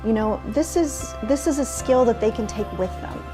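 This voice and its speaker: A woman telling an anecdote in a documentary interview in a lively storytelling manner. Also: on the high side, normal speed, over music.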